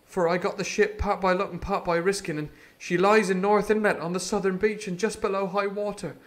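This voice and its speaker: A man voicing a frightened, earnest teenage cabin boy in a storybook reading, pleading and high-strung. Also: flat delivery, brisk, close to an everyday voice.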